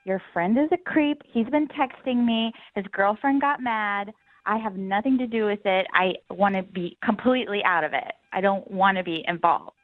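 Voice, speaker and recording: Phone-line voice, young female caller, casual and conversational, medium pitch. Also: moderate pace. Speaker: phone-in guest on a radio dating segment. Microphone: phone call. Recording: thin, band-limited phone line.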